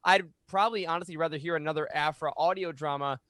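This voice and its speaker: A man's voice: a little high, quick pace, a bit bright, dry recording. A man in his thirties giving earnest, emphatic opinions in a casual podcast discussion, speaking close to a studio mic. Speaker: podcast co-host. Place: small home room, indoors. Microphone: boom-arm studio mic up close.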